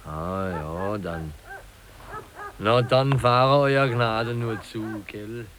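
A man's voice: fairly low pitch, a bit bright. A man playing a simple, unsure gate clerk in a radio play, with a hesitant, musing delivery. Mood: deferential, unsure. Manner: hesitant, folksy, drawn-out musing. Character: slow, simple small-town gate clerk, folksy and unsure. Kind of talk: 1950s German radio play acting.

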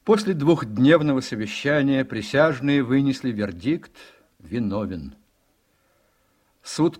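A man narrating a radio play from a script in a storytelling manner, with a husky voice. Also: mid-range voice.

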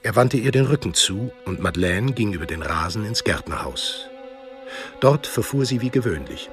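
A man's voice: on the low side, lively intonation, normal speed, fairly bright tone, soft background music. A man narrating a scripted radio drama as a storyteller.

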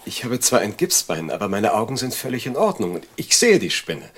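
A man's voice: fairly low pitch, big pitch swings, brisk, a bit bright, slight background noise. An actor playing an irritable, paranoid man with haunted memories, agitated and insistent, in a radio drama. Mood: irritated, insistent. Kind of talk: German radio drama acting.

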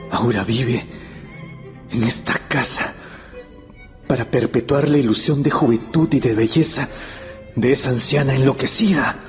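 A man's voice: mid-range voice, unhurried, long pauses, natural voice. A man playing a lovestruck, anxious young historian in a radio drama, brooding, hesitant and intense. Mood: brooding, astonished. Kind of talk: Spanish-language radio drama acting. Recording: radio play with faint music bed.

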